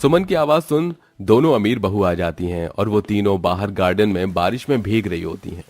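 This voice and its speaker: Guy narrating a cartoon story, lowish voice, scripted, dry recording w soft music under. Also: lively intonation, moderate pace, no room sound.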